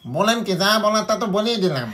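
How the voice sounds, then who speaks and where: Man putting on a fast, pushy Bangladeshi prank caller. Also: sits high, sing-song, music in the background. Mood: insistent. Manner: insistent, nagging, mock-indignant. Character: pushy, impatient male coconut seller and delivery prank caller. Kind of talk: prank phone call.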